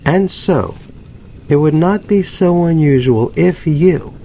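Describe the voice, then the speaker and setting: A man narrating a scripted dramatic story with deliberate, theatrical phrasing and pauses. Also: on the high side, vocal fry, dark tone, slight background noise.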